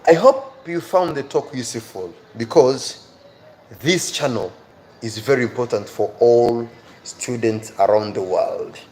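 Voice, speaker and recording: A man with an African accent speaks as an online teacher, urging his listeners on in an earnest, emphatic way. His voice sits at a medium pitch, and he repeats key phrases to drive them home. There is faint noise behind him. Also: animated, unhurried, darkish tone. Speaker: teacher hosting a live online lesson. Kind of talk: motivational live lesson, addressing viewers directly.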